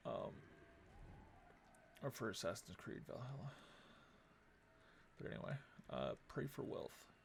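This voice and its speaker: A man's voice: medium pitch, expressive, measured pace, vocal fry, long pauses, a little hiss or hum. A man giving casual live commentary on a game he is playing, muttering his moves aloud. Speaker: game streamer. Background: game music.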